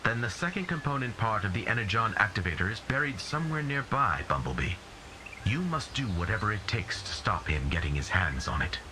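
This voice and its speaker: An actor playing a noble, solemn giant robot commander in a video game, speaking in a grave, formal, measured way. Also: male voice, fairly low pitch, big pitch swings, background noise. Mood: commanding, grave. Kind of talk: scripted video game cutscene acting.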